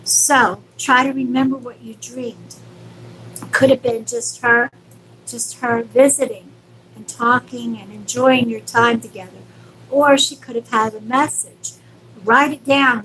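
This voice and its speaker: A woman's voice: a little high, steady tone, measured pace, pushed and bright, a little hiss or hum, poor audio. A woman hosting a live stream as a psychic medium, speaking casually and affectionately to viewers with reassurance and endearments.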